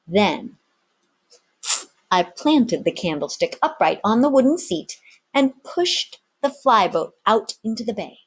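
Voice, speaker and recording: A woman's voice: lowish voice, breathy edge. A woman narrating a storybook as a teacher reading aloud.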